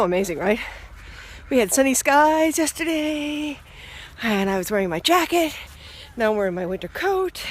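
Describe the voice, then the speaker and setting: A woman from Toronto, chatting upbeat to the camera as she walks a snowy city street. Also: on the high side. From Toronto, Canada. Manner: chatty, upbeat, talking to camera while walking. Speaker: vlogger. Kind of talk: casual walking vlog. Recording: outdoor street and traffic noise. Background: traffic.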